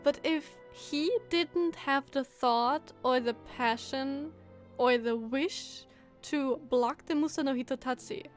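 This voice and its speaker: A woman streaming a game, giving casual, reactive commentary and thinking out loud. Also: high voice. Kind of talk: casual live gameplay commentary. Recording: game music behind the voice.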